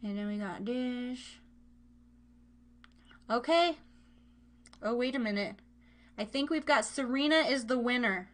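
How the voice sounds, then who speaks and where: A woman's voice: fairly high pitch, a little slow, pauses often. A woman hosting a live internet radio show, chatting casually in a playful, rambling way and repeating her phrases as she runs games.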